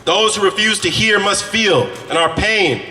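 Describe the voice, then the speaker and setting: A man delivering slow, rhythmic, emphatic lines to a rally crowd. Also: high-pitched, sharp tone, soft background music. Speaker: rally speaker. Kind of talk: rhythmic protest oratory. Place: outdoor rally at city hall. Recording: distant echoey PA.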